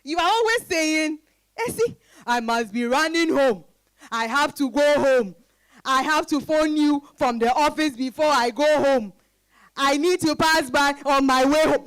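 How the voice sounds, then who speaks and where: A woman's voice: high voice, no room sound. A woman playing an emotional, frustrated married woman in a dramatized reading, speaking expressively and emphatically. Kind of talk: dramatized stage-style reading.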